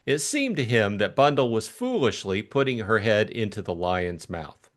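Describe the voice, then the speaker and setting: A man narrating a classic novel as an expressive audiobook reader. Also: on the low side, normal speed.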